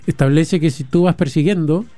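Chilean man hosting a news show, casual, mellow voice, dry close sound. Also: a little high, a little fast, no room sound, soft background music. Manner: casual, conversational. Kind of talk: radio news chat.

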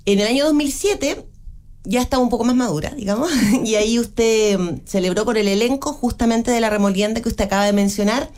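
A woman hosting an interview, asking measured, well-prepared questions that cite facts. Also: on the high side, darkish tone. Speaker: interviewer, host.

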